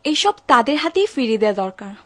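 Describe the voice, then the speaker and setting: A woman's voice: fairly high pitch, big pitch swings, quick pace. A woman voicing a brave, clever young servant in a dubbed cartoon, firm and earnest.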